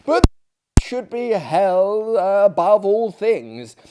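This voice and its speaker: A man voicing a polite, flustered, deferential gentleman visitor, stammering and fussy, in a theatrical storybook reading. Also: high voice, very animated, slow pace, background noise, pitched above the performer's own voice, full character voice. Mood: embarrassed, humble. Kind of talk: theatrical audiobook character acting.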